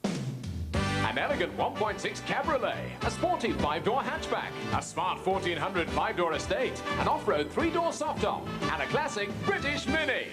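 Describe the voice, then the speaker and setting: A male game show announcer calls out names and prizes with punchy emphasis. His voice is very high and swings up and down. Loud music and a cheering studio audience sit under him. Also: big pitch swings, normal speed. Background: loud theme music and applause.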